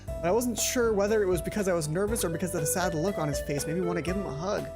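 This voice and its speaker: A young adult man reading a visual novel aloud as a let's play host, expressive, often correcting himself and dropping in casual commentary. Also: sits high, fast. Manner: expressive, self-correcting reader. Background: game music.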